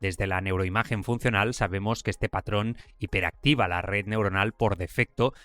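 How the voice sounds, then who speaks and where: A man's voice: fairly low pitch, steady tone, moderate pace, fairly bright tone. A man with an accent from Spain presenting a scripted science explainer as podcast host.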